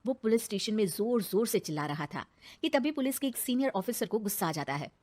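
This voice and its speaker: A woman's voice: medium pitch, animated, fairly quick, darkish tone. A woman narrator reading a scripted moral story in a dramatic storytelling style.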